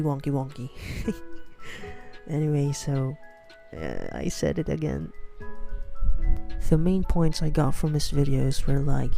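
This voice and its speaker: A man's voice: mid-range voice, dark tone. A teenage boy from the Philippines with a Filipino accent, whose first language is Filipino, rambling awkwardly and apologetically while drawing. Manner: awkward, apologetic, self-mocking, many fillers. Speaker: beginner art vlogger. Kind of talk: rambling self-conscious voice-over while drawing. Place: house.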